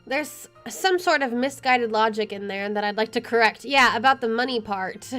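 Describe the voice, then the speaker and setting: An expressive woman playing a game, reading its narration aloud and adding casual, opinionated commentary. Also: a little high, normal speed. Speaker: let's player. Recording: faint music underneath.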